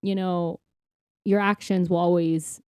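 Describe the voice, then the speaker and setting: A woman's voice: fairly low pitch, fairly even intonation, measured pace, warm tone, smooth, no echo, clean studio sound. A woman co-hosting a podcast, talking casually and earnestly about faith in an informal, explanatory way. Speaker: podcast co-host. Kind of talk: casual faith-focused conversation.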